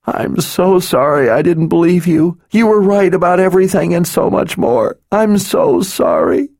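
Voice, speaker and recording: Sobbing, pleading young woman, pitched way up, read by a male narrator; slow, anguished storybook voice. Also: big pitch swings, vocal fry, darkish tone, no room sound, studio quality, exaggerated voice. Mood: pleading sobs. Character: grief-stricken young woman begging forgiveness.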